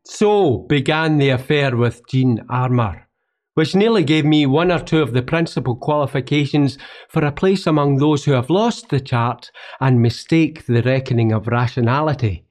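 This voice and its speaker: An actor playing a wry, roguish young Scottish poet, telling his own life in literary, measured monologue for a radio play. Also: medium pitch, darkish tone, faint music behind, lightly acted. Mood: wry, rueful. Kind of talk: first-person radio play monologue with folk songs.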